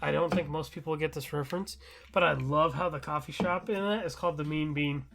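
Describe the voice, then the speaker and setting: A young adult man co-hosting a casual chat in an enthusiastic, excitable way. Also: fairly high pitch, a little fast, gravelly, crowd noise. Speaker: podcast co-host.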